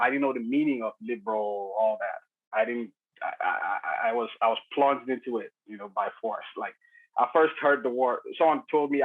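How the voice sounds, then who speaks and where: Man on a tinny line. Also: medium pitch. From Nigeria. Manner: chuckles often, hesitant restarts, many "you know" fillers. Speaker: podcast guest. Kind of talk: casual conversational storytelling.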